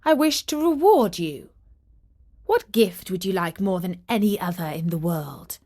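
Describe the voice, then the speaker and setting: A woman's voice: fairly low pitch, lively intonation, measured pace, mellow. A woman voicing a breezy, generous male god of wine in a declamatory, assured storybook reading. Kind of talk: children's storybook character reading.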